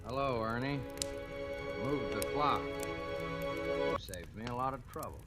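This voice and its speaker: Easygoing, sleepy husband and dad, expressive mid-pitched voice, vintage radio play with prominent music. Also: male voice, slow pace. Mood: calm. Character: drowsy, easygoing husband and father. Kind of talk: 1940s radio drama acting. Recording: old radio recording, loud music.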